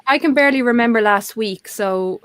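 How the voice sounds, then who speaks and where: Chatty middle-aged woman, slightly high, dry sound. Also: fairly quick, fairly bright tone, dry recording. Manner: rambling, self-deprecating, laughs often. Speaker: co-host of a livestream talk show. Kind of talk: casual chat.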